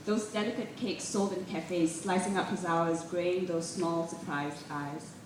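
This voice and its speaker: A woman gives an expressive, deliberate live reading of literary prose and poetry. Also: fairly low pitch. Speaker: reader at a literary festival. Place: live event room, recorded from a distance. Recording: roomy echo, distant mic, clearly noisy.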